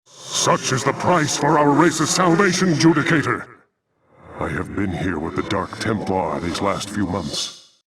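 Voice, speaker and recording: An actor playing a noble, calm and resolute alien commander in a video game, speaking formally and gravely. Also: male voice, fairly low pitch, measured pace, recorded from a distance, very noisy, lightly acted. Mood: solemn, explaining. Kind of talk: scripted video game acting.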